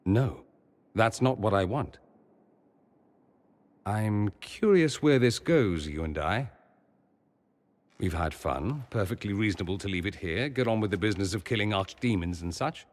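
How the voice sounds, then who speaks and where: A man's voice: fairly low pitch, animated, unhurried, pauses often. An actor playing a witty, flirtatious mage nobleman in a video game, with an arch, teasing, theatrical delivery. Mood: guarded, wistful. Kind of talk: video game cutscene acting.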